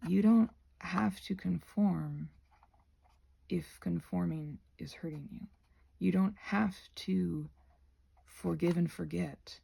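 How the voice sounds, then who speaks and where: A woman rambling casually to viewers as she crafts, in a halting, digressive way that often doubles back on itself. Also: sits low, gravelly, mellow, slightly rough. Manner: halting, digressive, self-interrupting. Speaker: craft and ASMR video creator.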